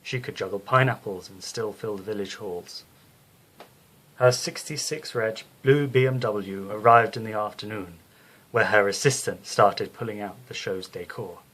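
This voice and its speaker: A man narrating a scripted bedtime story as the storyteller, in a measured, descriptive way. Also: fairly low pitch, a bit bright, rough recording.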